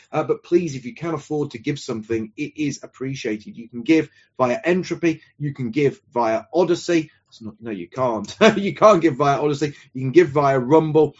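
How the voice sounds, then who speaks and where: A man hosting a livestream, appealing earnestly for donations and warmly introducing his guest with emphatic stress. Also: mid-range voice, breathy edge. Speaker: host of a livestream show. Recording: dull lo-fi stream audio.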